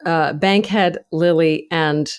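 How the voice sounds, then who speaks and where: A woman speaking as an interview guest, answering in a measured, precise and careful way. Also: deep voice, steady tone, smooth, no echo, clean studio sound. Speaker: interview guest, author and actor. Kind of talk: interview conversation.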